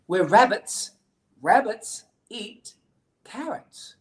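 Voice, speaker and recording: Woman playing a firm, slow-spoken mother rabbit. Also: medium pitch, lively intonation, pitched above the performer's own voice. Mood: firm correcting. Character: firm, matter-of-fact mother rabbit correcting her child.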